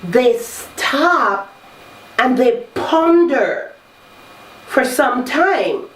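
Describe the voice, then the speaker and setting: A woman hosting a talk show, congested from a cold, coaching listeners in a slow, measured way. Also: high-pitched, big pitch swings. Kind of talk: reflective self-help talk. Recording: roomy echo, distant mic, faint noise.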